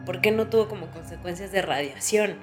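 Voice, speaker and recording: A woman's voice: fairly low pitch, fairly quick, faint music behind. A woman with a Mexican accent chatting casually and informally as a podcast co-host. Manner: informal, conversational interjections. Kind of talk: casual chat.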